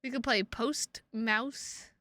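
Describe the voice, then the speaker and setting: A woman live streaming games, chatting casually with viewers in an excitable, sweary way and repeating her phrases for emphasis. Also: a little high, slow, no echo. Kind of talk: casual livestream chat with viewers.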